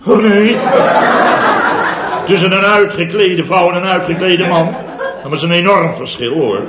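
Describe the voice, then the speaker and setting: Indignant, rambling middle-aged boss; roomy, muffled vintage radio with a live crowd. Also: male voice, high-pitched, unhurried, very noisy. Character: blustering, easily embarrassed male firm owner. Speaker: boss of a building contractor firm. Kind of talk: radio comedy sketch. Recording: lo-fi old radio with audience laughter.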